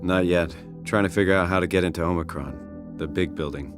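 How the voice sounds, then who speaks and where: An actor plays an ordinary man as the hero of a video game. He is earnest and inquisitive, with a casual, wry delivery. His voice sits fairly low, with soft music in the background. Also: steady tone, brisk, lightly acted. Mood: questioning. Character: everyman male game protagonist, questioning, earnest, wry.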